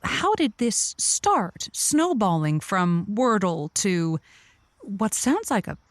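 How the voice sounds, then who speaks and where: A woman playing an earnest, wordy radio advice host, speaking in a thoughtful, halting way with florid vocabulary. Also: mid-range voice, sing-song, no echo, clean studio sound. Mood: thoughtful, concerned. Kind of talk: improvised-sounding advice radio show acting.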